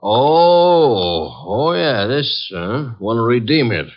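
An actor playing a grudging old pawnbroker who talks in halting, folksy scraps in a 1940s radio drama. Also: male voice, medium pitch, big pitch swings, muffled recording, a little hiss or hum. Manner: halting, folksy, clipped phrases. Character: grudging, slow-talking old male pawnbroker.

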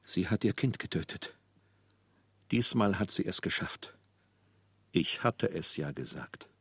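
An actor playing a grave townsman, one of several witnesses narrating a radio drama in a deliberate, measured way. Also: low-pitched, expressive, a bit bright, husky, no echo, clean studio sound, full character voice.

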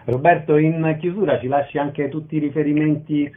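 A man's voice: a little high, fairly even intonation, normal speed, phone-quality sound, noisy background. A man interviewing a guest on the radio, putting orderly, somewhat formal questions, heard in thin phone-band sound.

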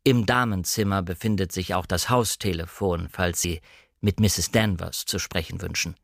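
A man voicing a reserved butler of a grand house in an audiobook, speaking in a measured, deferential, formal manner. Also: deep voice, fairly even intonation, vocal fry, no echo, studio quality, voice pushed down. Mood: politely reserved. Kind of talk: audiobook character reading.

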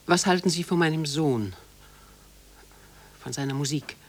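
A woman's voice: sits low, unhurried. An actress playing a proud, protective elderly mother in a German radio drama, formal and emphatic as she pleads for her son. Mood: hesitant, polite. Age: older.

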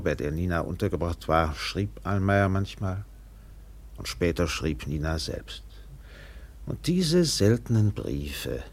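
A reflective ship's captain telling a story in a radio drama. His voice is deep and husky, with wide swings of intonation. The delivery is measured, somber and literary. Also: exaggerated voice. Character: reflective male steamship captain recounting a man's decline. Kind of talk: literary radio play narration.

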